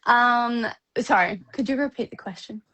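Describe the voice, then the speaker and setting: A woman with a croaky morning voice, a wellness guest speaking hesitantly with many ums from her apartment over a video call. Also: fairly high pitch, normal speed, bright, forceful tone. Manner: hesitant, full of ums and apologies. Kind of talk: casual interview chat. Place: apartment in Australia.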